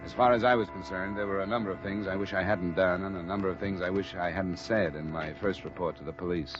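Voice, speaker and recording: Actor as a deep-voiced hard-boiled radio detective. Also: male voice, steady tone, fast talker, close to an everyday voice. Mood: weary narrating. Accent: American. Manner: clipped, dry, matter-of-fact. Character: hard-boiled male insurance investigator, terse, weary, guarded. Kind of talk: 1950s radio detective drama with first-person narration. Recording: dull vintage radio recording with music.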